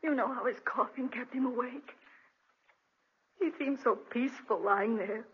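An actress playing a frail, devoted, sorrowful wife, tender and halting, in 1940s radio drama style. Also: female voice, fairly high pitch, lightly acted. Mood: grieving. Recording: muffled, noisy 1940s radio recording.

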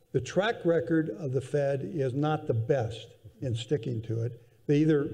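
An older man speaking as a guest on a conference panel, measured and thoughtful, often restarting his sentences, seated on a hall stage. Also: medium pitch, warm tone. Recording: reverberant convention hall.

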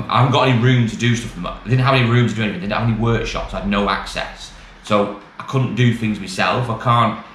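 Fast-talking British man, echoey room. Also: fairly low pitch, bright, forceful tone. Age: middle-aged. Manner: rambling, matter-of-fact, colloquial. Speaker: used car dealer and vlogger. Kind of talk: casual chatty business update to camera. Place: small bare indoor office. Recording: echoey small room, clear background noise.

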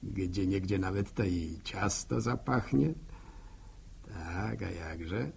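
An actor playing an older, wistful village storyteller in a 1960s radio drama, with a husky, gravelly voice and a rural Polish accent. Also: male voice, low-pitched, a little mellow, a little hiss or hum, full character voice. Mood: wistful musing. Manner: slow, folksy musing. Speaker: narrator.

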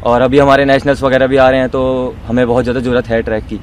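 A man's voice: medium pitch, little pitch movement, fast, slight background noise. A young male athlete answering a reporter's questions at an outdoor stadium, eager, mixing English words in. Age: young adult. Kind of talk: street interview. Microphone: handheld reporter mic.

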